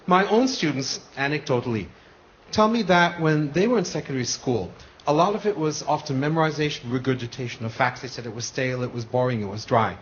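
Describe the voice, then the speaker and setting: A man's voice: medium pitch, animated, a little fast. Earnest man from the United States, questioning. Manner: earnest, passionate, with many restarts. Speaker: polytechnic lecturer in the audience. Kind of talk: question from the floor. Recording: muffled broadcast with faint hiss.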